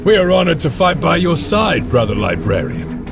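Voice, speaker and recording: A man's voice: a little high, big pitch swings, normal speed, gravelly. A man playing a stoic, weary veteran space marine sergeant, solemn and formal in dramatic game narration. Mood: commanding. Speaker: character narrator. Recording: dramatic music behind the voice.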